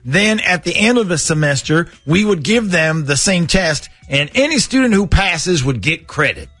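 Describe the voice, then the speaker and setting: A man playing an earnest, clueless school planning official on a prank phone call, polite, hesitant and deadpan. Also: sits high, normal speed, dry recording, faint music behind, heavily acted. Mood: hesitant, hopeful. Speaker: radio show prank caller.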